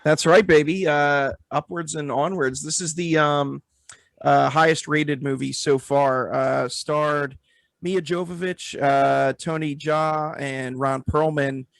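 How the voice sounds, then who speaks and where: A man's voice: fairly high pitch, steady tone, slow pace, creaky, no echo. A man hosting a casual movie review chat. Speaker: podcast host.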